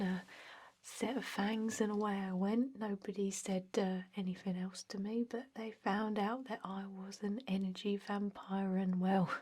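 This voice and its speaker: A woman voicing an easygoing female energy vampire who interviews for an office job, in a hushed, casual, hesitant ASMR roleplay whisper. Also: medium pitch, slightly rough.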